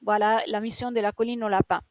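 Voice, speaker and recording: Italian-accented woman, halting speech. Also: a little high, steady tone, no echo, dull audio. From Italy. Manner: hesitant, many fillers and restarts. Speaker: rabbit shelter founder, guest. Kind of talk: interview answers.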